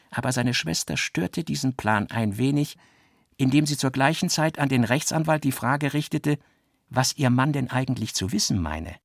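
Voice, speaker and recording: A man reading literary prose as a scripted radio narration, measured and precise through long sentences. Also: mid-range voice, creaky, no echo, clean studio sound.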